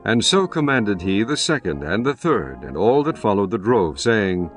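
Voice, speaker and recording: A man narrating scripture in a solemn, measured way over music. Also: fairly low pitch, very animated. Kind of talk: dramatized scripture reading.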